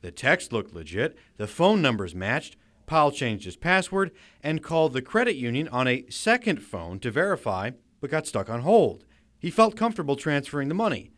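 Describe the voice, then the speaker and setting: American guy doing a news report voiceover, very lively up and down delivery. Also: medium pitch, moderate pace. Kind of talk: scripted news report narration.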